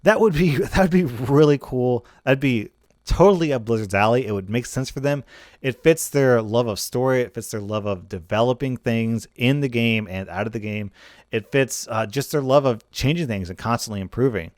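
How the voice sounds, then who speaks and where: A man talks through video game speculation in a casual, conversational way. His voice sits at a middle pitch and is recorded close and dry, with very little room sound. He thinks aloud, building one what-if after another. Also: moderate pace, a little mellow, dry recording. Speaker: gaming commentator.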